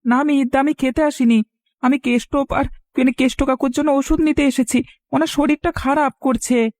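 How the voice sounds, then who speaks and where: A woman plays a caring young village boy in a cartoon. The voice is high and recorded dry, with an earnest, pleading delivery. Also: fairly even intonation, normal speed, darkish tone, no room sound, close to an everyday voice. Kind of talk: Bengali cartoon voice acting.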